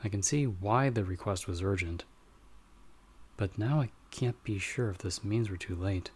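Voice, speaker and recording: A lowish-pitched actor playing a male investigator with a knowledge of magic, calm and guarded. He guides a novice patiently, in measured phrases with pauses, as in an audio roleplay. There is clear background noise and ambience behind him. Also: lightly acted. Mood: wary, serious. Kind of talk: ASMR audio roleplay acting.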